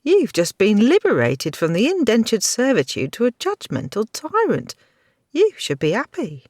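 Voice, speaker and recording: A woman's voice: a little high, wildly swooping pitch, normal speed, darkish tone, no room sound, clean studio sound, pitched above the performer's own voice. A reader voicing a sarcastic, rude young woman with a mocking, flippant delivery, in a storybook reading.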